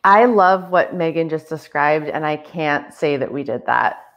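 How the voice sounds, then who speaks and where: A woman's voice: low voice, animated, a little slow, a bit bright, dull audio. A woman talking casually as a theatre artist on a panel, speaking over a video call from a room at home.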